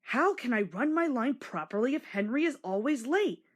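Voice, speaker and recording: A woman acts out a proud, cross young engine from a children's storybook. He is bossy and impatient, with a lively, swooping, raised pitch and a sing-song urgency. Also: normal speed.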